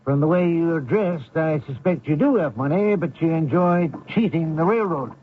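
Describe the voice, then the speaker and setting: An actor playing a blunt, folksy small-town man who probes strangers, husky, in old-time radio drama style. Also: a little high, animated, normal speed, narrow, tinny audio, a little hiss or hum, natural voice. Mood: suspicious, prying. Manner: folksy, probing, plain-spoken.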